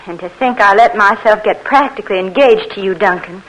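An actress playing a proud, spoiled young belle, with a haughty, commanding manner, in an old radio drama. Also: female voice, medium pitch, fairly quick, natural voice, poor audio. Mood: scornful. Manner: haughty and scornful, fond of giving orders. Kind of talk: 1940s radio acting.

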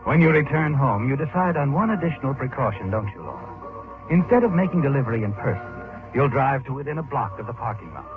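An actor playing a knowing, insinuating narrator of an old radio mystery, telling the story in the second person. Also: male voice, medium pitch, big pitch swings, fast talker, over loud music, close to an everyday voice. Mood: knowing, suspenseful. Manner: dramatic, insinuating. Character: knowing, insinuating male narrator goading the guilty protagonist. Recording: tinny old radio recording.

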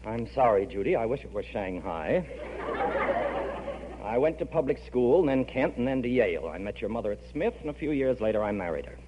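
A man's voice: mid-range voice, expressive, fairly quick, some chatter behind, natural voice. An actor playing an exasperated, sarcastic middle-aged father in a 1940s radio comedy, dry and weary. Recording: muffled, hissy, humming old radio broadcast.

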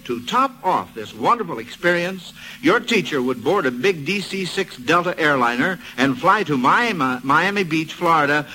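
A man with an American accent hosting a 1940s radio show, pitching to children in a folksy, eager salesman's manner on a vintage broadcast recording. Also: bright, forceful tone, rough recording. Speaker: quiz show host.

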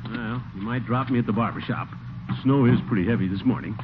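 An actor with an American accent playing a pompous, gullible middle-aged official in a vintage radio comedy, blustering and self-important. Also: male voice, mid-range voice, a little fast, lightly acted. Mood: grumbling. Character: pompous, gullible middle-aged water commissioner, easily flustered. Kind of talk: 1940s-50s American radio comedy acting. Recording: tinny, hissy old radio recording.

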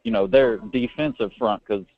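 A man calling in to a sports show, relaxed and friendly, with hesitant fillers. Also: lowish voice, moderate pace. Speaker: caller. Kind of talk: casual sports chat. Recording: tinny dry phone line.

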